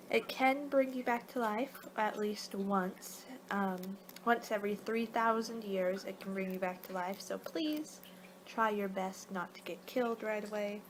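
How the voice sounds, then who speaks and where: Woman doing rambling ASMR, noisy background. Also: mid-range voice. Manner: rambling, halting, losing her train of thought. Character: young-for-her-kind female vampire guiding a client, casual, a little exasperated. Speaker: ASMR performer. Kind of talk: soft-spoken ASMR roleplay, partly whispered.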